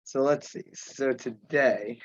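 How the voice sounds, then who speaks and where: A man chairing an informal online meeting, speaking haltingly with pauses mid-sentence. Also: medium pitch, no echo. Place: remote teleconference. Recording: dull, muffled conference call audio.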